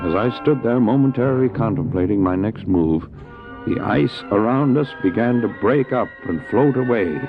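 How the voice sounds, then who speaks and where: A man's voice: fairly low pitch, animated, moderate pace. A man acting an anxious, determined dog-sled driver in a radio drama, telling his story earnestly and urgently. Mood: grave, suspenseful. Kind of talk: first-person dramatic narration, radio drama. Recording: tinny narrow-band old cassette, music behind.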